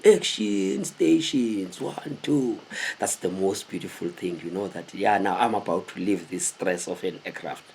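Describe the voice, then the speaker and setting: A South African man in his thirties tells a story casually to camera, animated and digressive, repeating words for emphasis in a husky voice. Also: mid-range voice. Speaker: vlogger telling stories. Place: small indoor room with a cloth backdrop.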